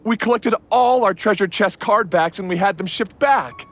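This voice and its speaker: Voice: mid-range voice, moderate pace, lightly acted. A voice actor playing a nervous thief who explains everything and begs for mercy, in a game cutscene. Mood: anxious. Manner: anxious, pleading explanation.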